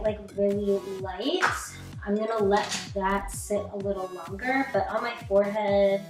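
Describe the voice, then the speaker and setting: A young woman from Canada chatting casually while doing her makeup, rambling with lots of "like". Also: mid-range voice. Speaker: vlogger. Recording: echoey room, distant mic, faint music.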